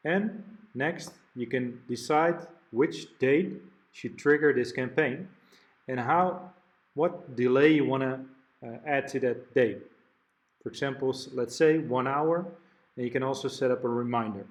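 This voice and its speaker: A man talking through a software product demo one step at a time. His voice sits at a middle pitch and moves along in a plain, explanatory way. Now and then he stumbles and restarts a word. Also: a little slow. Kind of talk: software walkthrough narration.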